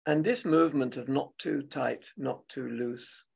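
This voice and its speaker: A man with a husky, gravelly voice leading a guided meditation as a teacher, speaking gently and unscripted in short phrases with long pauses. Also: mid-range voice.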